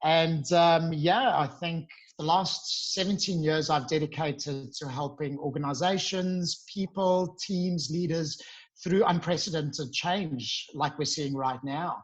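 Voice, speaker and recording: Upbeat man, higher voice. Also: takes it slowly, rough recording. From South Africa. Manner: upbeat, earnest, with bursts of emphasis. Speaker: podcast guest, founder. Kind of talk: casual interview chat.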